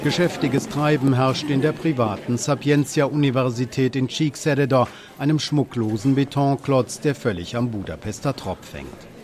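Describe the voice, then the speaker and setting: A man reading a scripted news report as a documentary voice-over, in an even, measured way. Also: mid-range voice, crowd noise.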